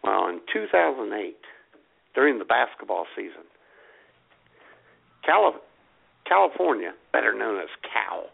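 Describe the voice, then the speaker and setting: Gravelly, slow-talking man on a phone. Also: lowish voice, very animated. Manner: dry punning jokester. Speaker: podcast co-host. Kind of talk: casual comedic chat. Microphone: cordless phone. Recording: tinny phone line.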